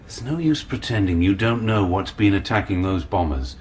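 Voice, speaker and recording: An actor playing a ruthless, impatient British army intelligence major in a radio drama, with a clipped British accent. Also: male voice, sits low, animated, moderate pace, darkish tone, slight background noise. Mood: menacing.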